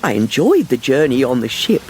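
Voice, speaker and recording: A man giving a careful, clearly paced first-person storybook narration for language learners. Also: mid-range voice, very animated, moderate pace, darkish tone, faint music behind, rough recording.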